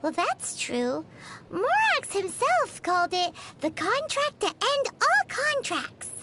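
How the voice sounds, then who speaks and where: A woman voicing a tiny, childlike, excitable fairy companion in scripted game dialogue, with a squeaky, exclamatory delivery. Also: takes it slowly, noisy background, heavily acted. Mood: thoughtful. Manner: exclamatory, refers to self in third person.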